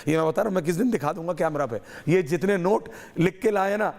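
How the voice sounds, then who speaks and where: A man's voice: sits high, fast, creaky. A man moderating a heated TV debate, interrupting and pressing the panel, his throat sore. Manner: interrupting, forceful, mixes English phrases. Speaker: debate show anchor.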